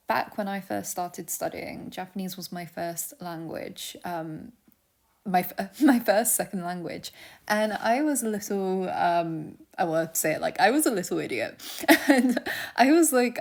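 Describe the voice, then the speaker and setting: A young woman casually teaching a vocabulary lesson, chatty and laughing often, dropping to a soft near-whisper when she gives word meanings. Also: mid-range voice, gravelly. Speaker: language teacher.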